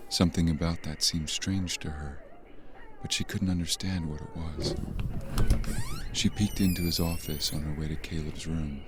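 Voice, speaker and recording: A man's voice: rumbling low, background noise. A man playing the sombre storyteller, narrating a scripted horror audio drama.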